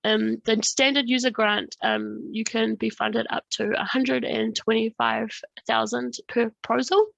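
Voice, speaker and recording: A woman's voice: on the high side, animated, a little slow, creaky, no echo, muffled recording. A woman presenting a funding workshop while she has a cold and is losing her voice, hesitant and full of ums. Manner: hesitant, many ums, casual phrasing.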